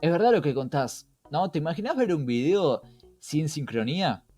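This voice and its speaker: A man's voice: a little high, animated, normal speed, warm tone. A man with an Argentine accent hosting a podcast in a conversational style, engaging the listener with rhetorical questions. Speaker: podcast host. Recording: music behind the voice.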